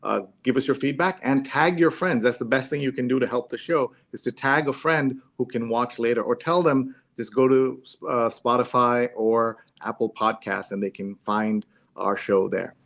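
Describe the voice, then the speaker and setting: A man's voice: mid-range voice, moderate pace, creaky, a bit bright, dull audio. A man hosting a live discussion show, talking casually to his audience.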